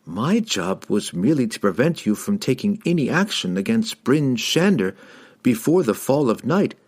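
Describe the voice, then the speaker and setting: A man's voice: mid-range voice, big pitch swings, normal speed. A man voicing a small, cunning halfling who explains himself earnestly and carefully in a fantasy audiobook. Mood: earnest, persuasive. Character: small male halfling, earnest, cunning liar under pressure. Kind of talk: audiobook character acting.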